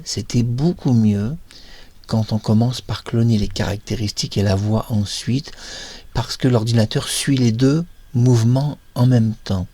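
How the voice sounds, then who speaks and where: A man with a fairly low, very mellow and husky voice presents a demo. He explains things in an emphatic, self-assured manner, repeating words to stress them. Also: dry recording. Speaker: presenter, voice-cloning software creator.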